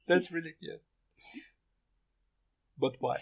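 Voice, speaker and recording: A man's voice: a little high, takes it slowly, creaky, husky. A man hosting a casual group podcast, asking questions and bantering. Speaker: podcast host.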